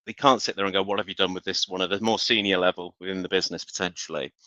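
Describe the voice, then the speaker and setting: Male webinar expert, forceful low voice, explanatory, slightly dull call audio. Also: steady tone, very fast, no room sound. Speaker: industry expert panelist. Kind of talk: conversational business webinar discussion. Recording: dull, band-limited online call audio.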